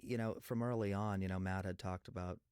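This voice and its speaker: Low-voiced American man explaining his craft. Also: steady tone, brisk, a little mellow, smooth, dry recording. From Massachusetts, New England, USA. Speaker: animation background designer. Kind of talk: casual audio commentary.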